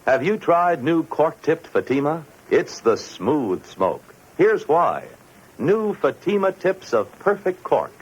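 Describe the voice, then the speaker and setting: A man reading an emphatic 1950s radio sales pitch as a commercial announcer. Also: big pitch swings, slow pace, slightly rough. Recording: muffled old radio recording.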